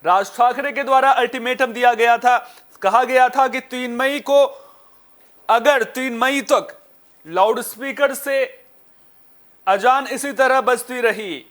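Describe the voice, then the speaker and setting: A man's voice: extremely high pitch, takes it slowly, a bit bright. A man anchoring a news bulletin, speaking in an emphatic, repetitive way with rhetorical flourishes. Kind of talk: live headline news bulletin.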